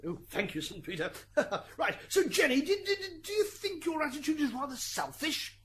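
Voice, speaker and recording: A woman's voice: sits high, huge pitch swings, moderate pace, a bit bright, heavily acted. A woman acting a fussy, stammering defence lawyer in an amateur radio drama courtroom. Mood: flustered. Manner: stammering, pointed courtroom questioning. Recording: hissy amateur recording, background noise.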